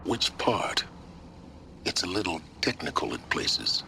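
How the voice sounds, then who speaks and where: An actor playing an aloof, knowing middle-aged man in a video game, measured, wry and cryptic in his delivery. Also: sits low, sing-song, gravelly, pushed and bright, exaggerated voice. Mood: wry, cool. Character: aloof, knowing middle-aged male guide in machine mysteries. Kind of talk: video game dramatic dialogue. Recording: noisy ambience behind the voice.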